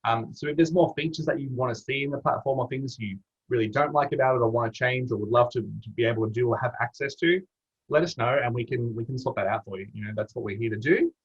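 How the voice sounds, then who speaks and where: A man's voice: medium pitch, creaky, husky. An Australian man presenting an online webinar in a casual, matey way. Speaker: webinar presenter from a campaign platform team. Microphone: video call. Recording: dull lo-fi video call audio.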